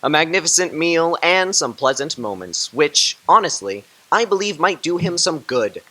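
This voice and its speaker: An actor playing Fred, Scrooge's cheerful young nephew, in a radio drama, hearty and laughing. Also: male voice, a little high, very animated, moderate pace, bright, forceful tone, heavily acted, rough recording. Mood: jovial.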